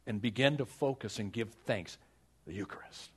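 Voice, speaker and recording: Husky low-voiced male preacher. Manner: earnest, exhorting, with dramatic pauses and repeated phrases. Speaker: pastor. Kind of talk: sermon with personal stories and prayer.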